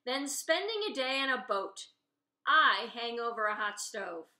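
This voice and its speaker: Loud, brash diner cook woman acted by a reader. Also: on the high side, sing-song, unhurried, heavily acted. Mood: argumentative. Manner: bellowing, argumentative, teasing. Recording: roomy, echoey room sound.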